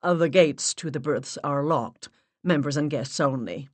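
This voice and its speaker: A woman's voice: sits low, a little slow, no echo. A woman narrator voicing a courteous, firm young male club receptionist in clipped, polite lines.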